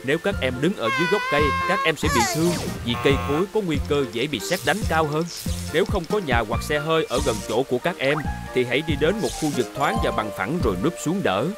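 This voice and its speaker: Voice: medium pitch, lively intonation, fast, loud music behind the voice, lightly acted. An actor playing a caring, protective rescue robot hero in a children's cartoon dub, explaining things earnestly like an older brother. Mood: earnest, instructive. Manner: earnest, instructive.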